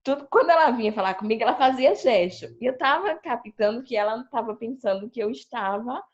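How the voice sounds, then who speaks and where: A woman's voice: on the high side, fairly quick. Brazilian woman teacher on a video call. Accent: Brazilian Portuguese. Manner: chatty, animated retelling with quoted pupil voices. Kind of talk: sharing classroom experience on a video call. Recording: dull lo-fi video call audio.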